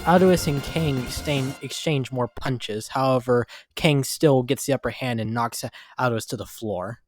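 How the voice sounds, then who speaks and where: Guy narrating a comic book story from a script, average pitch, music in the background. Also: unhurried. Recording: music behind the voice.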